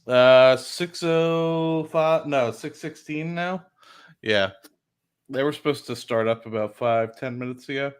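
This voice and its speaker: A man hosting a casual livestream from home, rambling and correcting himself in quick bursts. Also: medium pitch, clear, resonant tone. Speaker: livestream host.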